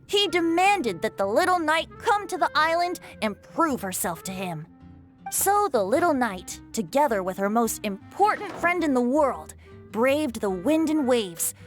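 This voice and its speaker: A woman playing a playful, self-assured young male bard in an anime game, flowery and theatrical, with music behind. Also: high-pitched. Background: background music.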